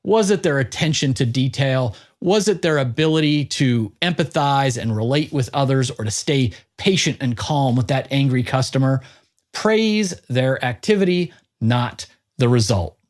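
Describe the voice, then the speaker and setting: A man's voice: medium pitch, expressive, moderate pace. A man hosting an interview podcast, presenting in an upbeat, emphatic and punchy way.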